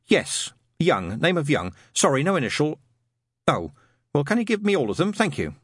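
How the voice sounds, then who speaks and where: A man's voice: fairly high pitch, wildly swooping pitch, moderate pace, fairly bright tone, breathy edge, no echo, studio quality, voice pushed up, full character voice. A man voicing a prim, fussy male angel, flustered and over-polite on the phone. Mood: politely flustered. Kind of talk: audiobook character voice.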